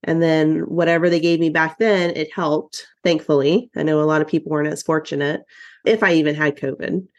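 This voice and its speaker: A woman's voice: sits low, fairly even intonation, a little fast. A woman therapist co-hosting a podcast in casual chat, sounding nasal and congested while still getting over an illness. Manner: chatty, self-deprecating, trails off mid-sentence. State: congested, recovering from covid.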